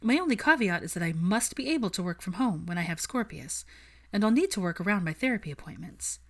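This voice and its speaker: A woman's voice: fairly low pitch, lively intonation, fast talker. A woman voicing a determined, frank young witch in an audiobook-style story, articulate and earnest, with a teasing edge. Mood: earnest, businesslike.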